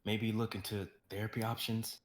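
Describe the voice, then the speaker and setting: A man voicing a patient male assistant to a star, speaking calmly and gently in an amateur dramatic reading. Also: on the low side, normal speed, reverberant, natural voice. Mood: gentle. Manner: calm, gentle, soothing. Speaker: actor in a live script reading.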